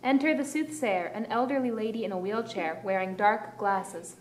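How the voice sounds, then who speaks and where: A woman's voice: medium pitch, normal speed. A woman reading stage directions as scripted narration for a play. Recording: echoey room, faint noise.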